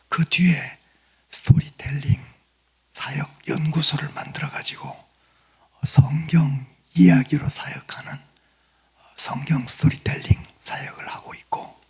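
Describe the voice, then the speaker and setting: A male missionary preacher who has lost his voice to a throat cold, giving an earnest sermon in a hoarse whisper and clearing his throat now and then. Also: a little high. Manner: apologetic, earnest, plain storytelling.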